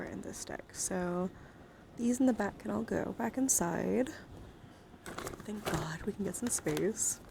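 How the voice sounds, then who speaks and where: A woman livestream host chatting casually, often dropping into soft murmurs and whispers. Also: fairly low pitch, gravelly. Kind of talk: casual live-stream chat. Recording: steady background noise.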